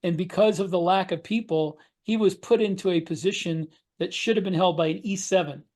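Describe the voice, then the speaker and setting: Middle-aged male podcast co-host, higher voice, casual and halting conversational delivery. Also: darkish tone. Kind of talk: casual panel discussion. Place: home room indoors, on a video call.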